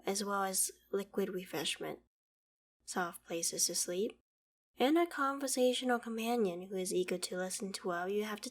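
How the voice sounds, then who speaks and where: A woman playing an ancient female dragon in an ASMR roleplay, proud and curious, speaking in a measured, formal, archaic way. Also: mid-range voice, lightly acted. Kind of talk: ASMR roleplay audio drama, addressing the listener.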